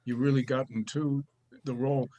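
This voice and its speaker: A man's voice: mid-range voice, little pitch movement, normal speed, lo-fi sound. A man teaching an acting class, praising students and giving notes, often restarting his sentences. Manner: warm praise, stammering restarts.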